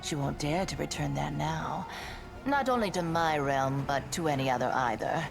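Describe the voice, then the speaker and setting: A woman's voice: deep voice, expressive, moderate pace, vocal fry, music in the background. A woman voicing a sly, mocking female demon in a video game, theatrical, self-assured and mockingly polite. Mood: scheming, assured.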